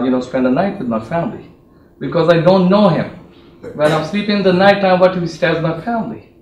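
A middle-aged man from Pakistan with a Pakistani accent, standing in the audience and earnestly making a deliberate point. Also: on the high side. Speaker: audience member, political candidate. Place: university lecture room, standing in audience. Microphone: far room mic. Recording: echoey room from far off.

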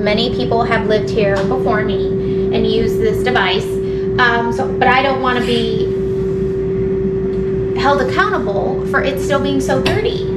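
A woman, chatting casually as a cleaning vlogger in her home kitchen, with filler words and an animated manner. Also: sits high. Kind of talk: casual vlog chat. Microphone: camera across the room. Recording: echoey kitchen, far from mic, background noise.

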